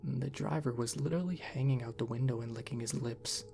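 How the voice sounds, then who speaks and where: A man reading a scripted scary story in a measured, deliberate way. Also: mid-range voice, gravelly, warm tone, a little hiss or hum. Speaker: horror story narrator.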